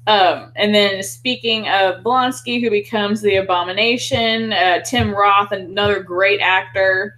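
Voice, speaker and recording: A woman's voice: mid-range voice, steady tone, unhurried, few pauses, bright, forceful tone, rough recording. A woman co-hosting a casual film discussion, talking in an enthusiastic, chatty, informal way. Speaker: podcast co-host.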